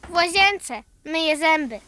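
A woman's voice: extremely high pitch, animated, slow pace, bright, forceful tone, a little hiss or hum, full character voice. A woman playing an earnest, precocious, worried little granddaughter in a children's radio play.

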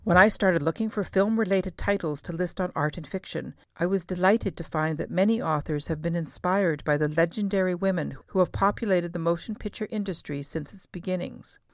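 A middle-aged woman reading scripted podcast narration as host, in a measured, carefully enunciated way. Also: on the low side, dry recording, clean studio sound.

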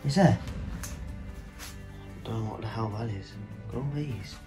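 A man filming himself with a phone as he explores an abandoned house indoors, talking low and hurried to his viewers. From England. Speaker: urban explorer filming a walkthrough. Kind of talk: casual handheld vlog commentary. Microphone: handheld phone. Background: background music.

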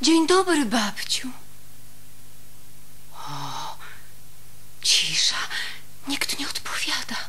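High-voiced innocent little girl. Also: huge pitch swings, drawn-out words, pauses often, sharp tone, whispered, exaggerated voice. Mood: puzzled, wondering. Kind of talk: children's radio play acting.

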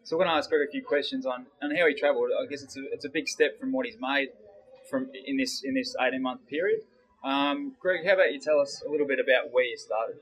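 A man's voice: mid-range voice, fast, a bit bright, low-quality recording. Australian man, a personal trainer interviewing. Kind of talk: testimonial interview.

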